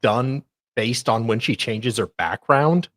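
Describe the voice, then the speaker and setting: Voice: mid-range voice, takes it slowly, a bit bright, no room sound. A co-host chatting casually and reading screenshots aloud, with sarcastic asides. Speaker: co-host doing dramatic readings.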